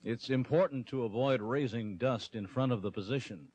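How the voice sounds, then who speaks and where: A man's voice: medium pitch, moderate pace, a little mellow, husky. A man reading scripted, instructional narration for a training film.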